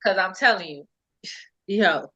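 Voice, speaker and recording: An easygoing woman hosts a podcast and chats with her guest in an affectionate way. Her voice sounds bright and crisp, at middle pitch. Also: measured pace, highs cut off. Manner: affectionate, easygoing, calls people boo and baby. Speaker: podcast host. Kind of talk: casual interview chat.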